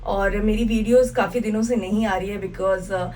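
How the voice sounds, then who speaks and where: A young woman from Bihar talks chattily to her vlog viewers and slips English words into her speech. Her throat is a little sore, and her pitch sits in a middle range. Faint household sounds can be heard behind her. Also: steady tone, moderate pace, a little mellow, slight background noise. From Bihar, India. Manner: chatty, mixes English into Hindi, many fillers. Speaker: vlogger. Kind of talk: casual vlog chat to camera.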